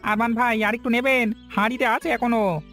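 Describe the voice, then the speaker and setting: A man's voice: very high voice, fairly even intonation, brisk, bright, forceful tone, faint music behind, exaggerated voice. A man voicing a plain-spoken, loyal and stubborn village husband in a cartoon story, direct and insistent. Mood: eager, encouraging. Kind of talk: Bengali cartoon story voice acting.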